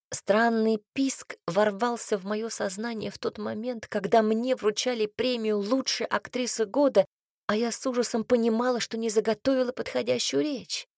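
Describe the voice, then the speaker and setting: A woman narrating a first-person story as an audiobook, reading in an even, expressive way. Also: mid-range voice, dry recording, studio quality.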